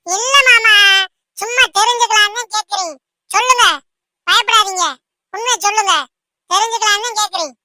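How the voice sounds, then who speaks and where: A woman's voice: very high voice, fairly even intonation, moderate pace, pauses often, pushed and bright, dry recording, clean studio sound. A woman voicing a caring, gossipy young bride-to-be in a cartoon, chatty and emphatic. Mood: coaxing. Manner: chatty, emphatic, repeatedly seeking agreement. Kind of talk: amateur cartoon dialogue in colloquial speech.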